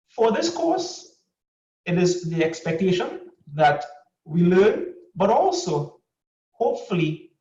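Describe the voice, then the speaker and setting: A man hosting an online course session, giving a measured, formal introduction of a panelist over a video call. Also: high-pitched. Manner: measured, formal delivery with drawn-out phrases. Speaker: course host. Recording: distant, echoey, muffled video call audio.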